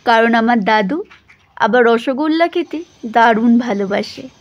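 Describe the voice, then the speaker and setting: A woman's voice: fairly high pitch, a little slow. A woman reading a first-person story as scripted audio narration.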